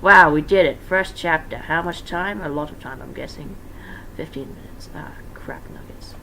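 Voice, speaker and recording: A man's voice: fairly high pitch, moderate pace, creaky. A young man reading fan fiction aloud as an informal dramatic narration, breaking off for casual asides. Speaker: amateur fan-fiction reader. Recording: faint background noise.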